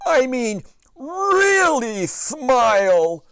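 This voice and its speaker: Storybook grandpa, elderly and distraught, toothless mumble, high and swooping. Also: male voice, sing-song, dry recording, studio quality, full character voice. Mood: angry. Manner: loud, slow, wailing, mumbling as if toothless. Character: fussy old grandpa who lost his teeth. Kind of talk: children's storybook read-aloud.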